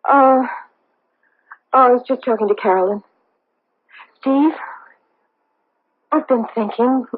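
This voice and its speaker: Guarded, anxious middle-aged wife, earnest and hesitant, slightly husky voice, radio drama on a tinny old recording. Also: female voice, fairly high pitch, slow pace. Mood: anxious, pleading. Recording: tinny, band-limited vintage radio recording.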